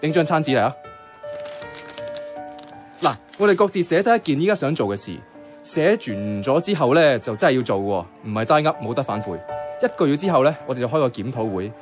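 An actor playing an eager young friend who philosophises in a Cantonese radio drama, playfully earnest, with a Hong Kong accent. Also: male voice, medium pitch, lively intonation, fairly quick, lightly acted. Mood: enthusiastic. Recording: music clearly behind the voice. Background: music.

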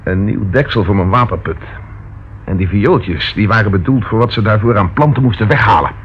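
An actor playing a brave, quick-thinking police detective in a radio drama. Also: male voice, fairly low pitch, lightly acted. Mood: brisk, matter-of-fact. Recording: dull, noisy old radio drama recording.

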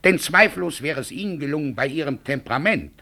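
An actor playing a self-satisfied, coolly sarcastic defence lawyer in a 1960s German radio drama, polished and pompous. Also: male voice, fairly high pitch, expressive, quick pace, vocal fry, bright, forceful tone, full character voice. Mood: coolly offended.

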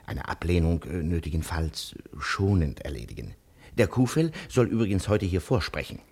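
A very low-voiced, husky civil servant in a vintage radio drama. He is pedantic and deferential, delivering roundabout officialese with widely moving intonation. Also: animated, normal speed, a little mellow. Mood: formal, pedantic. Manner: formal officialese, circumlocutory, deferential. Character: pedantic, deferential male subordinate official, stiffly bureaucratic. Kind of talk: 1950s German radio drama acting.

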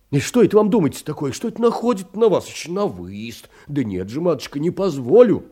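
A fussy lovesick humble clerk, soft mellow tone, pleading and doting, very theatrical audio play. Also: male voice, mid-range voice, breathy edge. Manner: fussy, pleading, tender endearments and repetitions. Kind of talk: theatrical Russian literary audio drama.

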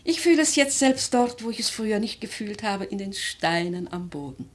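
Radio drama grandmother: aristocratic old lady, imperious yet life-loving, middle-pitched, stagey lyrical phrasing. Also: expressive, husky. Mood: solemn, fervent. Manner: theatrical, measured, lyrical, with emphatic swells and hushed phrases. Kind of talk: literary German radio drama acting.

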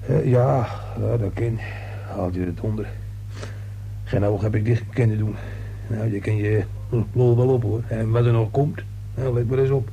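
A man playing a weary, gossipy apartment caretaker in a radio thriller, grumbling in halting, colloquial speech. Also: on the low side, fairly even intonation, warm tone, close to an everyday voice. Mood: tired, grumbling. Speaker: actor in a radio serial. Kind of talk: Dutch radio thriller acting. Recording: noisy old radio play with hum.

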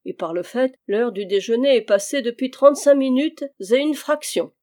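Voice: medium pitch, normal speed, darkish tone, pitched above the performer's own voice, close to an everyday voice. A reader voicing a fussy, wealthy male royal steward who exclaims and frets over his possessions, in an audiobook reading. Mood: indignant.

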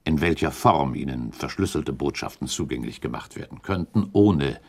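A man's voice: a bass voice, animated, normal speed, vocal fry, a little mellow, full character voice. An actor playing a shrewd, relentless accuser in a radio play, speaking formally and precisely as he argues his case. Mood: methodical, explanatory. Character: shrewd male accuser laying out a murder theory, methodical, relentless. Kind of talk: German radio play acting, period dialogue.

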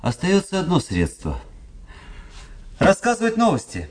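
A man playing a witty, bored young gentleman in a radio drama, talking in a wry, ironic way with drawn-out endings. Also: high voice, wildly swooping pitch, exaggerated voice. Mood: wry, languid. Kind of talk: theatrical radio drama dialogue.

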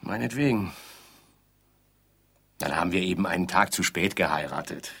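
A mild, absent-minded newlywed husband in an absurdist radio play. He speaks in a lowish, husky voice, musing and a little pompous, placidly defending himself. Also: expressive, normal speed, fairly bright tone, full character voice. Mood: placating. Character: placid, defensive bridegroom, absent-mindedly insisting on their happiness.

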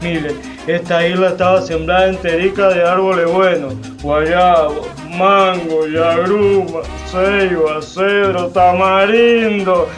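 High-voiced folksy Cuban cane cutter. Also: male voice, steady tone, slow pace, few pauses. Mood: lively, wry. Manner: colloquial, exclamatory, vivid storytelling. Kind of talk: radio drama monologue in casual talk. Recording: faint music behind.

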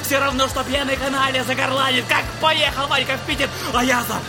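An actor playing a feverish young man raving in delirium, ranting and shouting in a theatrical audio drama. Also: very high-pitched, flat delivery, a little fast. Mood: delirious, desperate. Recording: loud music under the voice.

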